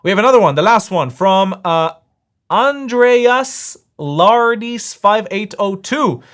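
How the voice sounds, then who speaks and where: A man hosts a live stream, reading viewer messages aloud and arguing his side. His voice sits a little high for a man. He talks emphatically and circles back to hammer the same point again and again. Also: expressive, slow pace, a bit bright. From Israel. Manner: emphatic, insistent, repeats points. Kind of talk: live stream chat and debate.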